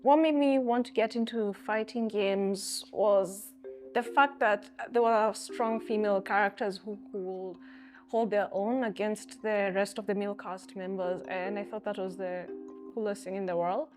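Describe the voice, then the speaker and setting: A woman's voice: mid-range voice, fairly even intonation, measured pace. A woman, a competitive gamer in a documentary interview. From Kenya. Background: soft music.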